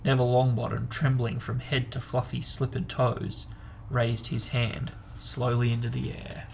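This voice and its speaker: Man with a creaky, gravelly voice. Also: medium pitch, flat delivery, a little slow. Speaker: host and reader. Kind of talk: storybook narration and casual book chat. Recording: noticeable background noise.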